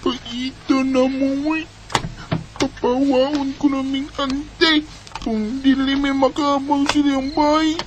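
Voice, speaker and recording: Actress as poor young wife, soft pleading, slow delivery, noisy cricket night. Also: female voice, sits high, flat delivery. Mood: worried. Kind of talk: Bisaya radio drama acting.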